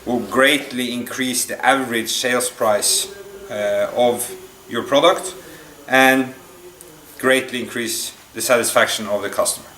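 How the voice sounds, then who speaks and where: A man giving an unscripted product demonstration, explaining step by step in a halting, hesitant way. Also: medium pitch, steady tone, pushed and bright. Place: indoor room at a table. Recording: echoey room, distant mic, faint noise.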